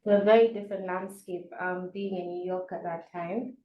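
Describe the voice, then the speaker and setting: A woman's voice: on the low side, steady tone, moderate pace, dull audio. A woman from Kenya introducing herself and her work as a guest speaker in a presentation to an audience. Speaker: guest speaker, legal and human rights advisor. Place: indoor event space. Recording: echoey room with faint noise.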